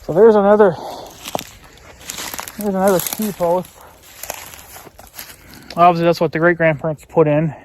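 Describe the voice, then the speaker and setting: A man's voice: sits high, unhurried, pauses often, darkish tone. An American man rambling casually outdoors in a folksy way, thinking aloud as he films a vlog. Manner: folksy, musing aloud, mild swearing. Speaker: vlogger. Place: outdoors in rural woods. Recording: faint outdoor background noise. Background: faint outdoor noise.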